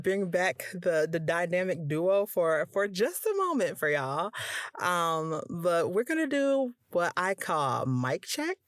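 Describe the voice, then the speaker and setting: Breathy woman with a slight lisp. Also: sits low, dry recording, clean studio sound. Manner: calm, soothing delivery. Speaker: podcast host and audiobook narrator. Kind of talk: casual interview chat.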